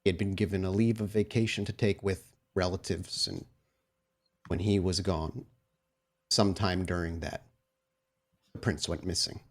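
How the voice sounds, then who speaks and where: A man playing a reserved male courier for a vampire prince in a tabletop game, speaking in a deliberate, terse, businesslike manner. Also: deep voice, steady tone, voice pushed down. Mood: solemn, businesslike.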